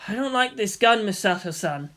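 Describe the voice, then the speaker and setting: A woman's voice: medium pitch, expressive, normal speed, a bit bright, close to an everyday voice. Woman playing an earnest, intense teenage boy.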